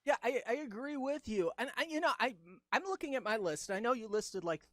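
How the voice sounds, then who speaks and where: Squeaky-voiced chatty male host. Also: expressive, fairly quick, a bit bright, no room sound, clean studio sound. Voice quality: high-pitched, squeaky. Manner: chatty, enthusiastic, runs through a list. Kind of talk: casual chat on a video call. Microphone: video call.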